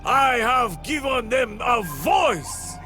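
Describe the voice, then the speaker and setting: A man playing a fiery warlord in a video game, gravelly and commanding, rallying his armed men with grandiose oratory. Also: extremely high pitch, sing-song, slow, pushed and bright, shouting, faint music behind, heavily acted. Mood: fierce, rallying. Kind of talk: dramatic video game cutscene acting.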